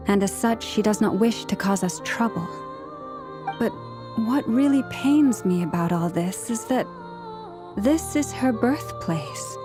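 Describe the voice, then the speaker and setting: An actress playing a gentle, solemn village leader in a fantasy video game, measured and formal. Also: female voice, a little high. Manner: measured, soft-spoken, formal. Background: music.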